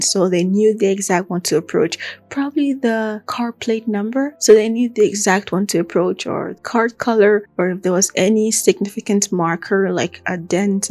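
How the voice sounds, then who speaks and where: A woman with a Nigerian accent hosting a true crime podcast, telling the story casually and chattily, with animated asides. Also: mid-range voice, no echo. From Akwa Ibom, southern Nigeria.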